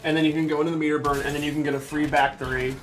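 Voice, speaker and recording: A man's voice: on the high side, little pitch movement, very fast, soft background music. A man giving casual gameplay commentary, explaining things enthusiastically. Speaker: presenter of a game character breakdown.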